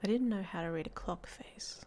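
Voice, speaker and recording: A woman playing a guarded, hot-tempered young werewolf in an ASMR roleplay, speaking in breathy half-whispers with a hesitant, dryly blunt manner. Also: medium pitch, fairly quick, darkish tone, natural voice. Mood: subdued, reflective. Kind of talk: ASMR roleplay audio story, intimate monologue. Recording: faint background noise.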